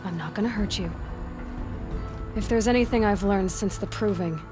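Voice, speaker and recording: A woman performs a young female warrior for a cinematic game. She is brave and compassionate, and she speaks in an earnest, plain way at an average pitch for a woman. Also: normal speed, over music, lightly acted. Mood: firm. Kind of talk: cinematic video game acting.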